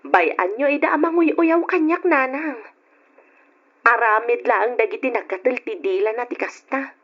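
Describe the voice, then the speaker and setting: Young ilocano single mom in radio drama, earnest, fairly high husky voice, dull recording. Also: female voice, expressive, unhurried. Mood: defiant, fond. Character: resolute young single mother, respectful to her elders.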